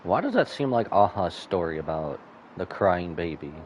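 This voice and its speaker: A man's voice: low-pitched, big pitch swings, moderate pace, a little mellow, lo-fi sound, a little hiss or hum. A man giving casual live commentary on a game, musing aloud and wry as he streams.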